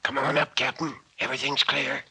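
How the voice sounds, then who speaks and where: An actor playing a plain-spoken, skeptical small-town doctor, a questioning sidekick in an old-time radio drama. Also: male voice, medium pitch, level tone, brisk, sharp tone. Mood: alert. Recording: noisy old radio recording with clicks.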